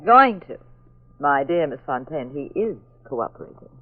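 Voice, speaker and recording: An actress playing a detective's jealous, witty wife in an old radio mystery, with an arch, teasing delivery. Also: female voice, low voice, big pitch swings, normal speed, no room sound. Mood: sarcastic. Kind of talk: 1940s radio mystery acting. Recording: muffled old radio recording, faint music.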